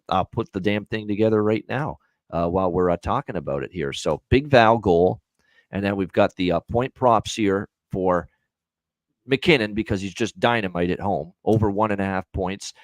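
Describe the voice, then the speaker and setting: A low-voiced man hosts a sports betting show in a loose, chatty way, thinking out loud as he talks through his picks. The sound is dry and close, with no room around it. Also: dry recording. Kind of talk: casual sports betting chat.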